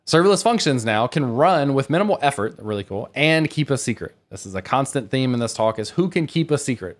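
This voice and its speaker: A man giving an explanatory technical conference talk in a conversational, enthusiastic way, as a developer speaking to fellow developers. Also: mid-range voice.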